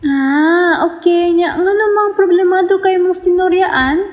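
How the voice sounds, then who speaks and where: A gentle, teasing young woman coworker, played by a woman in a student radio drama. Her voice is very high and rings with a long, echoey reverb. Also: unhurried. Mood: concerned. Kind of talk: amateur radio drama acting.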